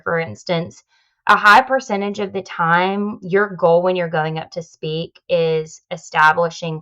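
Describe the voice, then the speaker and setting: A woman guest on a podcast, calmly giving practical advice on public speaking in a polished, confident way. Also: lowish voice, highs cut off.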